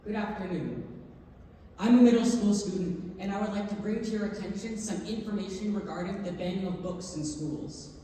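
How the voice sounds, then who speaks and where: A woman's voice: mid-range voice, normal speed, dark tone. Woman giving formal speech in echoing hall. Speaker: stage performer. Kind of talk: staged reading of a public speech. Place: theatre stage. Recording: echoey theatre hall, distant mic, noise.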